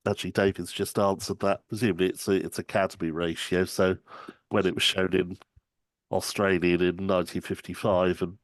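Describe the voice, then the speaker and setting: A man's voice: low-pitched, level tone, a little fast, dry recording. A man from the UK making tentative, casual comments and questions in an online discussion. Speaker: webinar audience member.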